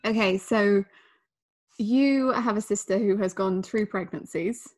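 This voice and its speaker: A chatty young woman with a mid-pitched voice, co-hosting a podcast. She talks casually into a studio microphone and throws in quick, good-humoured asides. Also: soft background music. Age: young adult. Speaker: podcast co-host. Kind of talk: casual comedic chat.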